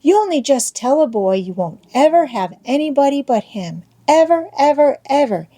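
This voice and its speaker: A woman's voice: high voice, big pitch swings, moderate pace, mellow, pitched above the performer's own voice. A woman voicing an eager, boastful young schoolboy in love in an audiobook, with folksy old-fashioned rural American wording and a wheedling manner. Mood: coaxing. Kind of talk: audiobook character voice.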